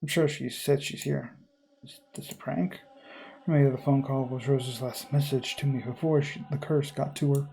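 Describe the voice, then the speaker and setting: A man's voice: medium pitch, steady tone, moderate pace, vocal fry, mellow, slight background noise, lightly acted, low-quality recording. A man voicing a jittery, superstitious real-estate agent in a horror game, muttering to himself in exasperation. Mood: worried.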